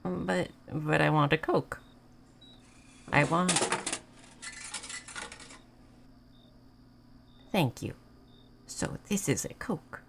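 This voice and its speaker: A woman playing a gentle, naive moth person in a roleplay audio, speaking in halting, simple, formal phrases. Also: sits low, gravelly, a little hiss or hum, full character voice. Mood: curious, hesitant. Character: gentle, naive, curious moth person learning human things. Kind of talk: scripted roleplay audio addressed to the listener.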